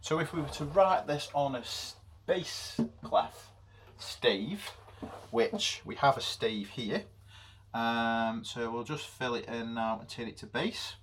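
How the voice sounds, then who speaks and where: A man with a deep voice giving an unscripted music theory lesson, with many pauses and fillers. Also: expressive, a bit bright, a little hiss or hum. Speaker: music teacher.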